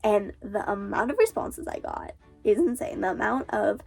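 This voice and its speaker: A woman's voice: medium pitch, lively intonation, moderate pace, creaky, a little mellow, breathy edge, faint music behind. A teenage girl with a cold, sounding stuffy and nasal, chatting casually as an author and booktuber and filling her talk with "like". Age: teens. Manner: chatty, lots of fillers like "like". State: congested, stuffy nose from a cold.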